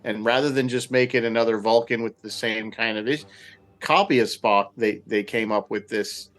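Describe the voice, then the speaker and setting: A man's voice: on the low side, steady tone, normal speed, a bit bright. A man in a casual roundtable discussion, reasoning things through in an explanatory way.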